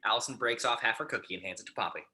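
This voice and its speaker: A man's voice: medium pitch, racing, bright, forceful tone. A man narrating the scene headings and action lines of a screenplay at an informal table read. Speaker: narrator of stage directions.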